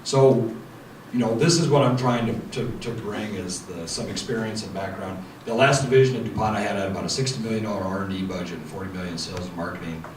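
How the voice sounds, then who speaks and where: A man's voice: lowish voice, fast talker. A man speaking as a panelist at a meeting, earnest and thick with fillers. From United States. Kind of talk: panel introduction. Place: meeting room. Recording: echoey room mic from far off, noisy.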